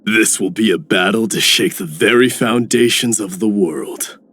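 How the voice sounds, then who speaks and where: A man voicing a stern, proud, battle-hardened ruler in a video game, speaking gravely. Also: lowish voice, unhurried, fairly bright tone, slight background noise. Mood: grave, resolute. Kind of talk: scripted game character lines.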